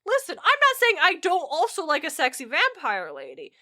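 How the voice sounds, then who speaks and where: A woman co-hosting a podcast in a casual comedic discussion, animated and opinionated. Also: very high-pitched, moderate pace. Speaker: podcast co-host.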